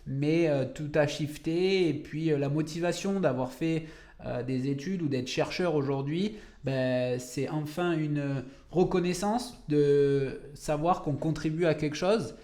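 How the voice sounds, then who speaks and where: A man's voice: fairly high pitch, unhurried, mellow. A young adult man from France, a cancer researcher speaking as a podcast guest, who slips into English words and searches for French ones. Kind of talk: podcast interview.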